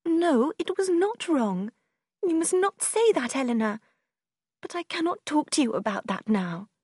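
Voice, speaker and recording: Woman acting a heartbroken, high-voiced passionate young woman. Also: very animated, a little slow, a little mellow, dry recording, clean studio sound. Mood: pleading, defensive. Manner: tearful, emotional, pleading. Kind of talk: audiobook character acting in a simplified classic novel.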